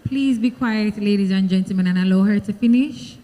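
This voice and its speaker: A Jamaican woman reading pageant questions aloud in a clear, deliberate way. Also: mid-range voice, dark tone, faint music behind. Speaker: question reader, stage host. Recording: long hall reverb from a stage mic.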